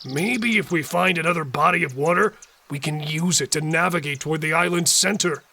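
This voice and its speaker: Casting: man playing an earnest, gravelly male survivor, slightly high. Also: brisk, pushed and bright, slight background noise. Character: Cole, young male survivor, ex-delivery driver.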